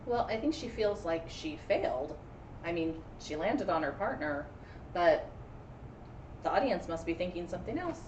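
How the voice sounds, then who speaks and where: Woman, teacherly and affirming, lively intonation, casual story discussion, roomy sound, faint background noise. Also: fairly low pitch, normal speed, lo-fi sound. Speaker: teacher co-hosting a read-aloud. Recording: echoey room, distant mic, faint noise.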